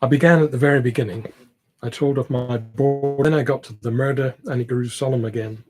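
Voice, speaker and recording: A man with a slightly husky voice reading a classic novel aloud, now and then stumbling over words. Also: medium pitch, fairly even intonation, a little fast, over music. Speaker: amateur audiobook reader, library team member.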